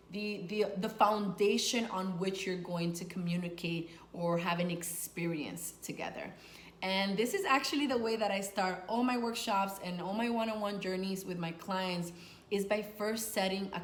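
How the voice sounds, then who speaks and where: Echoey woman coach talking informally to camera. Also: mid-range voice. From Dominican, grew up in New York. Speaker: sex and life coach, video host. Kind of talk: conversational coaching advice to camera. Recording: echoey room with faint noise.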